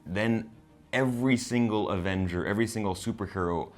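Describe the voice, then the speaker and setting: A man with a very low-pitched voice talks in a casual, conversational review style. He comes across as an enthusiastic fan sharing his take with a co-host. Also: a little fast, faint music behind. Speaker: co-host of a film review show. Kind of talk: casual movie discussion.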